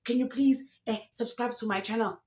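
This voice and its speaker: A woman's voice: fairly high pitch, steady tone, a little fast, a little mellow, reverberant, dull audio. A young woman YouTuber, ranting passionately in casual commentary, recorded alone in a room. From South Africa. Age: young adult. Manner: passionate, ranting, mixing in local slang.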